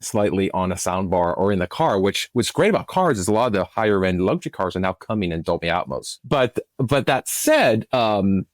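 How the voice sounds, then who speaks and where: A man's voice: low voice, animated, dry recording, studio quality. A man giving enthusiastic explanations as an interview guest, often restarting his phrases as he goes. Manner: enthusiastic, halting with repeated starts. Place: remote recording over internet software.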